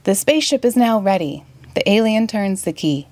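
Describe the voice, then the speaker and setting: A woman's voice: medium pitch, moderate pace. Woman reading a storybook, Boston accent. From Massachusetts, United States. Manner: expressive, playful storytelling in rhyme. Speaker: storybook reader. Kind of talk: children's storytime read-aloud.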